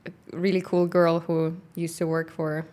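A middle-aged woman with a non-native English accent, a company founder in a casual interview, talking thoughtfully with many pauses. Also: on the low side, monotone, darkish tone. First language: not English; grew up in Kazakhstan, speaks Russian. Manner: thoughtful, frequent "um" pauses, light laughter. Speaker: guest, founder of a workwear boot company.

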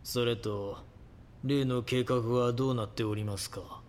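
An actor playing a grandiose, coldly fanatical cult leader who preaches solemnly and politely in an audio drama. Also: male voice, medium pitch, steady tone, unhurried, lightly acted. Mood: calm, commanding. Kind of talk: Japanese audio drama acting.

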